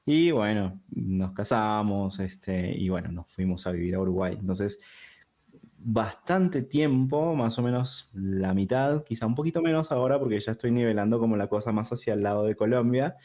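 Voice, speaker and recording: Guest: thirties man, from Peru, River Plate accent, lowish voice, loose and chatty. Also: normal speed. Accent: Rioplatense Spanish. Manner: relaxed and talkative, with frequent fillers and restarts. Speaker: guest, digital product designer. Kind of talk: casual interview conversation.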